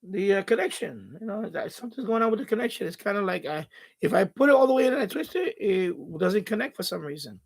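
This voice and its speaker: A middle-aged man hosting a casual live stream, rambling and thinking aloud in a halting way. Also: high-pitched, animated, vocal fry.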